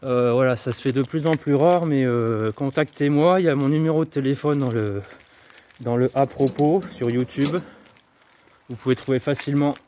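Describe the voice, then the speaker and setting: A man's voice: medium pitch, normal speed, mellow. A man from France chatting casually to camera while walking outdoors, rambling and upbeat about personal development. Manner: chatty, rambling, self-promoting, laughs at himself. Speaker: YouTuber and personal development coach. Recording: faint street noise and jangling keys.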